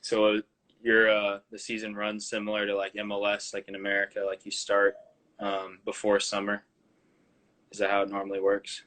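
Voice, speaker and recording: Man, interviewer, monotone, bright tone, lots of ums, video call. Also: lowish voice, normal speed. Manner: hesitant, many ums and likes. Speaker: interviewer, host. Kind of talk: casual interview questions.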